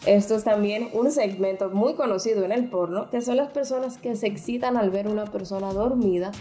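A woman's voice: mid-range voice, fairly quick, warm tone, reverberant, soft background music. A woman co-hosting a podcast who explains topics frankly in casual chat, with many restarts. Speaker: podcast co-host.

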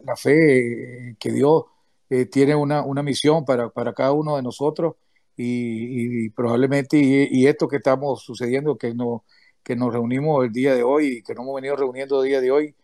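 Halting man, dry close sound. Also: medium pitch, creaky, a little mellow, dry recording. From Nicaragua. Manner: halting, full of restarts and hesitations. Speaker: activist speaker, former political prisoner. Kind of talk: closing remarks in an online audio chat room. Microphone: remote audio call.

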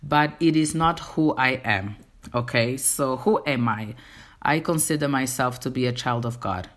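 A woman's voice: extremely low pitch, a little slow. Earnest woman with a Portuguese accent. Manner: earnest, speaks straight to listeners. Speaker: podcast host. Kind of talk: casual faith-centered monologue.

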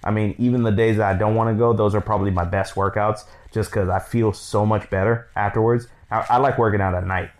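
A man's voice: on the low side, steady tone, brisk, few pauses. A man in his thirties co-hosting a podcast, chatting casually with his wife in a rambling, laid-back way with many fillers. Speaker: podcast co-host.

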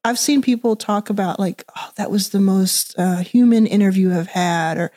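Brief: woman podcast host, mellow tone, chatty, easygoing questions. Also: medium pitch, moderate pace. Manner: chatty, laughing, loose phrasing. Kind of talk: casual interview hosting.

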